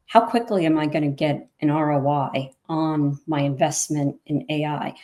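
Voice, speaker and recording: A woman's voice: sits low, mellow. A woman hosting a business interview, asking friendly, direct questions. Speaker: host.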